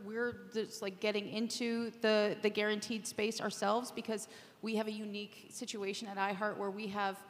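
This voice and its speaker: A woman speaking off the cuff on a conference panel, explaining things in an informal way. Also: on the high side. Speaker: panelist, media executive. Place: conference hall, live panel. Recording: echoey hall with long reverb.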